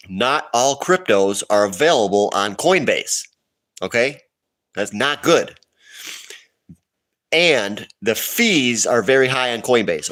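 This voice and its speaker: A man's voice: mid-range voice, fairly bright tone. A man hosting a casual livestream, reading chat questions and answering them in a loose, rambling way while sniffling.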